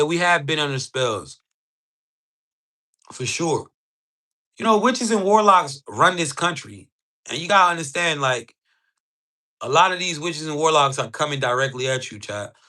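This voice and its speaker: A man giving an informal, emphatic livestream sales pitch to his chat, talking in slang with frequent tag words. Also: animated, measured pace, long pauses, sharp tone. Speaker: live streamer selling spiritual ebooks.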